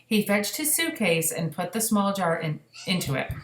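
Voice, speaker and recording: A woman reading a children's story aloud, pausing for casual explanatory asides to young listeners. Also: medium pitch, sing-song, a little hiss or hum. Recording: echoey room.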